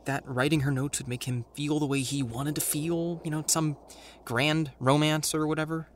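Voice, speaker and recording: An actor playing an anxious, awkward teenage boy in an audio drama, with a halting, dry, naturalistic delivery. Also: on the high side, fairly even intonation, a little hiss or hum, close to an everyday voice. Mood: wry, reflective. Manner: halting, dry, self-conscious.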